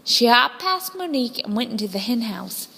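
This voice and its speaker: A woman reading a children's story as scripted narration, with expressive, deliberate phrasing. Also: a little high, creaky, husky. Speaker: storybook reader. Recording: long hall-like reverb.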